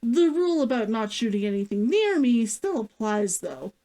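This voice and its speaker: A woman voicing a gruff but caring male hunter in a story, instructing in a measured, matter-of-fact way. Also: on the high side, very animated, warm tone. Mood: gruff, blunt. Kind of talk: fiction read-aloud character dialogue.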